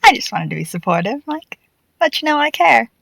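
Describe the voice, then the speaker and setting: Casting: woman voicing young animatronic puppet boy, high-pitched, shy but cheeky, close dry audio drama sound. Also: sing-song, a little fast, vocal fry, fairly bright tone, dry recording, heavily acted. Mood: earnest.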